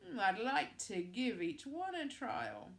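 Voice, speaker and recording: A woman's voice: a little high, big pitch swings, takes it slowly, vocal fry, exaggerated voice. A woman voicing a grumbling, exasperated king in a storybook reading. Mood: sly. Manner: exasperated complaining. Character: grumbling widowed king, adult man.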